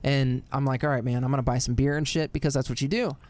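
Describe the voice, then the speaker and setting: A man in his late twenties with a high voice, co-hosting a podcast and telling profane stories in casual chat. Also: steady tone, fast. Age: late 20s. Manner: loud storytelling, swears often, acts out quotes. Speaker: podcast co-host.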